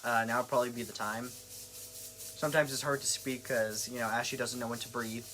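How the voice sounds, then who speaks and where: A woman's voice: extremely low pitch, steady tone, moderate pace, a bit bright. A woman co-hosting a casual live stream, talking informally with viewers and adding wry asides. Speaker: live stream co-host reading viewer comments. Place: craft store. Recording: clearly noisy background with clicks.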